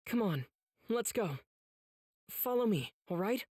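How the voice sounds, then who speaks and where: A man's voice: sits high, sing-song, takes it slowly, a little mellow, echoey room, exaggerated voice. An actor playing an earnest, protective teenage demon slayer boy in an anime game, speaking with resolve and reassurance. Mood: gentle resolve. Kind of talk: dubbed anime game acting.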